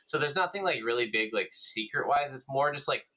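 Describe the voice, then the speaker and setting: A man with a bright, forward voice at an average pitch co-hosts a gaming podcast. He talks casually and informally, in loose conversational phrasing. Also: expressive, brisk. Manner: informal, mildly profane. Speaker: podcast co-host.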